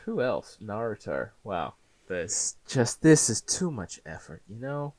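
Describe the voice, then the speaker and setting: A man's voice: on the low side, sing-song, measured pace, darkish tone, no echo. Laid-back man chatting as interview guest, dry sound. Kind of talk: casual interview chat.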